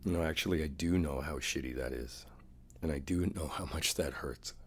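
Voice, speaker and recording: Very deep-voiced, slightly husky boyfriend played by a man. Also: moderate pace, exaggerated voice. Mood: subdued, hurt. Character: wounded, devoted boyfriend, earnest and reassuring. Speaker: audio roleplay performer. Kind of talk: boyfriend ASMR roleplay, intimate one-sided dialogue.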